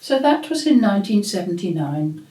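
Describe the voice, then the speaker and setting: An older woman host recalling family memories in a slow, reflective storytelling manner, with frequent pauses and fillers. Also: medium pitch, very animated, dark tone. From England. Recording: echoey room.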